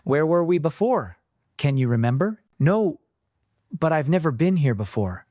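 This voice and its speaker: A man's voice: a little high, sing-song, normal speed, mellow, no room sound, studio quality. A man voicing wary, teasing young twin brothers in casual audiobook dialogue. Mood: puzzled, wary.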